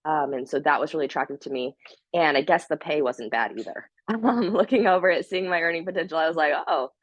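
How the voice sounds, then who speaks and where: Upbeat low-voiced woman, slightly hoarse. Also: fast talker, dry recording, lo-fi sound. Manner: upbeat, chatty, many fillers. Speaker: guest speaker, top sales producer. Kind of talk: casual motivational talk on a team call.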